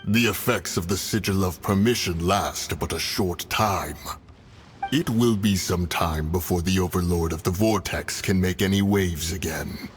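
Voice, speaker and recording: An actor voicing a stern, solemn immortal guardian in a video game, formal and grave. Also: male voice, low-pitched, measured pace, slight background noise. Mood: solemn, wary. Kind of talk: scripted game voice acting. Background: game music.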